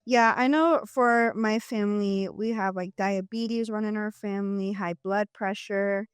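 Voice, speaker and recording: A woman hosting a podcast who chats in a relaxed, conversational way with a guest. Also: mid-range voice, measured pace, clear, resonant tone, no room sound. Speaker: podcast host. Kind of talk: casual interview chat.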